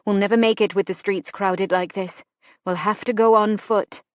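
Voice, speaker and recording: A woman's voice: on the high side, fairly even intonation, moderate pace, mellow, dry recording, voice pushed up, close to an everyday voice. Woman voicing an earnest, determined young wartime mother. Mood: urgent, commanding. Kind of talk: audiobook character dialogue.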